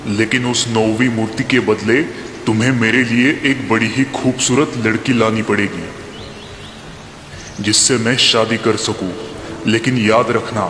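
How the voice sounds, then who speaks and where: A man's voice: lowish voice, lightly acted. Man voicing a commanding demon king in echoing reverb. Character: demanding, threatening demon king of a fairy tale. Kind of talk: Hindi children's animated fairy tale acting. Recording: echoing long reverb, faint noise.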